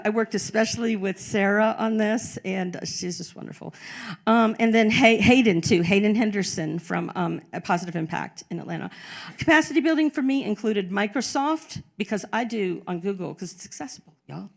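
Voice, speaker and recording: A woman talks casually through her conference presentation, chatty and informal. Her voice has a gravelly, creaky edge. She sits at a middle pitch for a woman. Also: moderate pace. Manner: chatty, informal, says "y'all" often. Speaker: conference panelist. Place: conference room.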